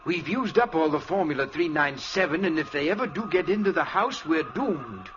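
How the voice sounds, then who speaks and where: An actor playing an obsessed, fearful professor in an old-time radio drama, with grave, intense, theatrical delivery. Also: male voice, a little high, animated, moderate pace, natural voice. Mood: grim resolve. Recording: muffled, noisy vintage radio recording.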